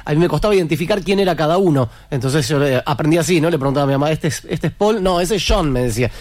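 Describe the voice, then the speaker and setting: An Argentine man speaks as a musician being interviewed. His voice sits a bit high for a man and is closely and dryly recorded. He explains things through anecdotes, often checking for agreement. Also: expressive, racing, a little mellow, no room sound. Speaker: musician in a tribute band, interview guest. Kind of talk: conversational interview answers, anecdotes.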